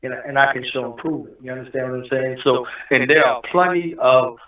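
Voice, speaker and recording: A man's voice: medium pitch, fairly even intonation, a little fast. A man explaining his views at length, and he keeps checking in with "you understand what I'm saying." His voice arrives thin and phone-like, far from the mic in a very echoey space. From Newark, New Jersey. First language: Arabic. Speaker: guest. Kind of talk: casual interview talk. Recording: echoey, distant phone-line audio.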